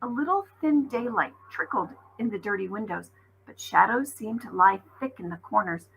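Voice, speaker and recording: Bright, husky woman reading a story. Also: medium pitch, lively intonation, normal speed, rough recording. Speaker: teacher reading aloud. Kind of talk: expressive children's audiobook narration.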